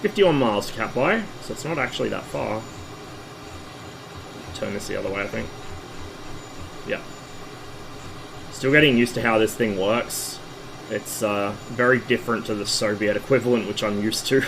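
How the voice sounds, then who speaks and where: A man with a fairly low voice talks casually through a flight-sim session, pausing on fillers as he explains things. His voice is worn and hoarse from illness. A faint hum sits beneath the recording. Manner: explaining, easygoing, hesitant fillers. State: losing his voice after a flu. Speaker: streamer.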